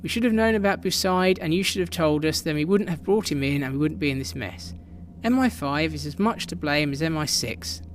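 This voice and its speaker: A man acts out an embattled intelligence chief in a radio drama, defensive and foul-mouthed. His voice sits a little high and he talks combatively, with some noise behind him. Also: fairly quick, natural voice. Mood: angry, defensive. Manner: sweary, combative.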